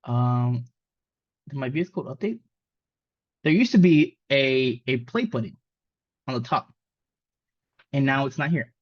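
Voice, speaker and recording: A man's voice: medium pitch, animated, unhurried, long pauses, dry recording. Mexican-born man teaching coding, dull sound. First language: Spanish. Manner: casual, rambling with many fillers. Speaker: coding instructor. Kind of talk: live coding lesson.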